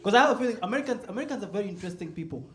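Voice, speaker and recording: A man's voice: high-pitched, expressive, very fast. A man from Sudan, performing a stand-up set in a comedy club and laughing at his own punchlines. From South Sudan. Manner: laid-back, chuckles at his own jokes, builds to loud punchlines. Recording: roomy live club sound.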